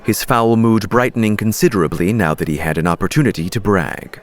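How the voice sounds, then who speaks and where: A man's voice: fairly low pitch, a little fast. A man reading scripted third-person narration for a fantasy audiobook.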